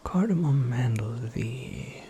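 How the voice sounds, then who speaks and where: A performer acts out a wry, soft-spoken witch mentor for a whispered ASMR roleplay. The breathy whisper moves very slowly and crackles with fry. The phrasing is formal, cryptic and dryly playful, over faint background noise. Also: medium pitch, expressive. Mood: dryly teasing.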